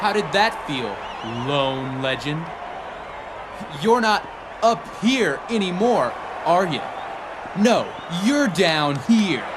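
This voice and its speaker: A man's voice: high-pitched, sing-song, slow pace, heavily acted. A man playing a gloating, scheming young wrestler in a video game, mocking and theatrical. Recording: noisy arena with loud crowd.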